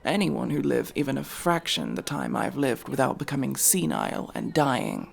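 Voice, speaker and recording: Man playing a gravelly, guarded immortal elf. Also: medium pitch, wildly swooping pitch, exaggerated voice. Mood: defensive, pained. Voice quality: breathy, gravelly. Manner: brooding, halting, often dropping to a whisper. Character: guarded, world-weary five-hundred-year-old elf mercenary, young-looking. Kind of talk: ASMR fantasy roleplay, intimate dramatic acting.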